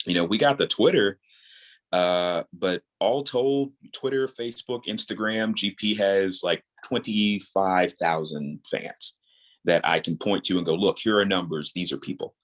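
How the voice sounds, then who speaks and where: A man's voice: sits low, fairly bright tone. A man in his thirties talking casually and earnestly as a guest in a podcast interview. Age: 30s. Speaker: guest, audio drama creator.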